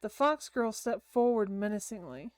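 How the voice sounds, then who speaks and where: A woman streaming a game, reading a visual novel aloud in an expressive way with casual asides. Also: medium pitch, moderate pace, no echo, studio quality.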